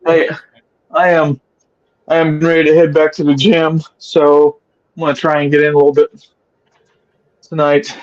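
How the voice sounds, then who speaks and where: A man chatting casually as a talk podcast guest, opinionated and crude, often halting as he speaks. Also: a little high, little pitch movement, a little mellow, no echo, muffled recording. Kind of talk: casual chat.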